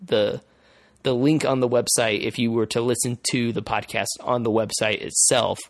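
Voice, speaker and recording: A male podcast host explaining things in casual conversation, haltingly, repeating phrases as he thinks aloud. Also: medium pitch, steady tone, no room sound.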